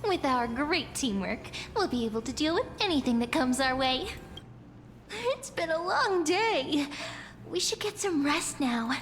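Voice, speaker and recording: A woman's voice: sits high, animated, unhurried, a bit bright, long reverb tail, slight background noise. An actress playing a polite young female companion in a fantasy game, composed and lightly teasing. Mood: composed, playful. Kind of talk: scripted game voice acting.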